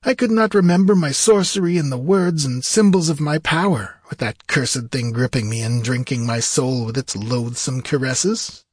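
A man's voice: mid-range voice, moderate pace, hardly any pauses, husky, no echo, clean studio sound, voice pushed up, close to an everyday voice. A man voicing an aristocratic, wry sorcerer in a fantasy audiobook, with courtly, archaic and dramatic phrasing. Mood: bitter, haunted. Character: tall aristocratic sorcerer freed from long torment, eloquent, wry.

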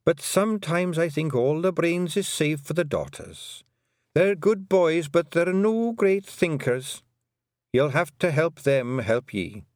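A man putting on a tiny old fairy matriarch for a storybook reading, pitched high above his own voice. She is bossy and shrewd, with a broad lilting rural brogue. The sound is close and dry. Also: sing-song, takes it slowly, darkish tone, no echo. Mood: commanding. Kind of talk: audiobook character voice.

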